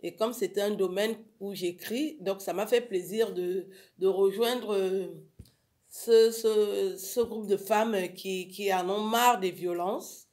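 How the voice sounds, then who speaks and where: A woman chatting casually as a radio guest, telling her stories in an animated, exclamatory way. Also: medium pitch, slow. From Africa. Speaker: guest, writer on violence against women. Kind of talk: casual radio interview chat.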